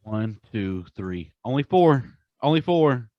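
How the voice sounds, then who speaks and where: A man's voice: medium pitch, very animated, slow pace, no echo, muffled recording. A man co-hosting a podcast in casual chat, informal and slangy with strong opinions. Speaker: podcast co-host.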